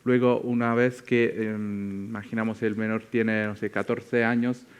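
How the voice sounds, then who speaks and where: A man from Italy with an Italian accent, speaking a second language as a panelist, explaining things off the cuff and often pausing to search for words. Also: lowish voice, level tone, smooth. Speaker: tech company representative on a panel.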